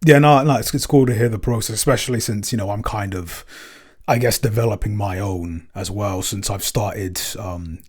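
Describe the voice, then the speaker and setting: A man with a lowish voice talks casually, as in a podcast Q&A. He is hesitant, often repeating words and pausing to find the right phrase. The delivery is unscripted and conversational. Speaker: podcast co-creator, composer and episode writer. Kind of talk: casual Q&A chat.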